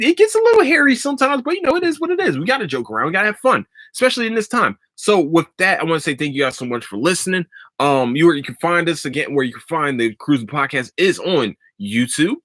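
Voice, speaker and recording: A man hosting a solo podcast, talking in a rambling, profane and excitable way and laughing often, a little stuffy from allergies. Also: a little high, quick pace, no room sound, clean studio sound. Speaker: podcast host. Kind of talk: solo casual podcast talk.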